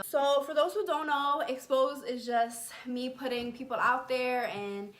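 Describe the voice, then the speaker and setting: A young woman hosting a casual interview show, chatty and eager, reacting with quick exclamations. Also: sits high, fairly bright tone.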